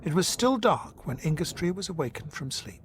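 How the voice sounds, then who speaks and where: An actor playing a genteel period colonel who narrates an audio drama in formal, measured storytelling. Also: male voice, fairly high pitch, sing-song, a little hiss or hum. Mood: grave storytelling.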